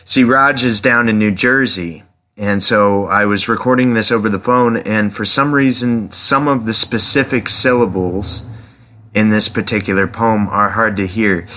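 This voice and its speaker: A man hosting a podcast in casual chat, speaking in a hesitant, halting way. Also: on the low side, pushed and bright. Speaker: podcast host, interviewer.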